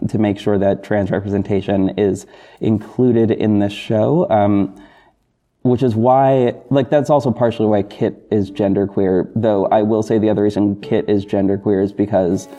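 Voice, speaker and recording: A man hosting a podcast, talking casually and apologetically to listeners in a halting, self-correcting, rambling way. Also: lowish voice. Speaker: podcast host and audio drama creator. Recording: dull lo-fi recording with music bed. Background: soft guitar backing music.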